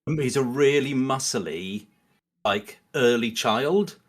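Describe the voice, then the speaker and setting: A man's voice: mid-range voice, lively intonation, takes it slowly. A man speaking as a podcast guest in a casual, analytical discussion, often rephrasing himself as he thinks aloud.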